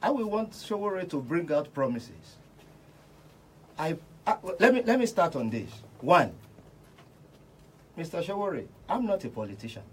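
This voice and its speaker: Man, Nigerian accent, raised pitch, oratorical, insistent debating, repeated phrases, faint noise behind. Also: big pitch swings, measured pace, pauses often, poor audio. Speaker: radio panel guest, reverend. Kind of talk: political debate. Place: radio station.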